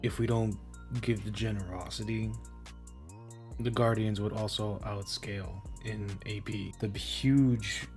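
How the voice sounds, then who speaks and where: A young man talking casually in a flat, monotone way, rambling with many restarts and fillers as he breaks down a versus battle. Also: lowish voice, measured pace. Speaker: YouTube versus-battle analyst. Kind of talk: casual spoken analysis over music. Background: lo-fi hip hop music.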